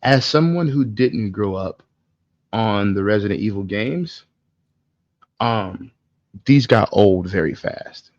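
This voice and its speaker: A man's voice: lowish voice, animated, slow, long pauses, darkish tone, dry recording, muffled recording. A man co-hosting a podcast, talking casually and bluntly with slang, swearing and strong opinions. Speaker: podcast co-host. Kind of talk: casual film discussion.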